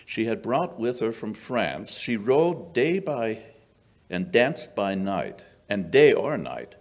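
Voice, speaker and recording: Male actor as gentleman sleuth for radio play: low register, lively swoops, shrewd, dry, measured storyteller. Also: exaggerated voice. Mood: wry storytelling. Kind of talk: old-time radio drama acting. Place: small indoor stage before an audience. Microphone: vintage-style stand microphone.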